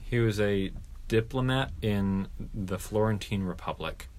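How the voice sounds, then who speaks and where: A man's voice: fairly low pitch, unhurried, a little mellow. A young man co-hosting a casual podcast chat, laid-back, reading out search results as he talks. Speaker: podcast co-host.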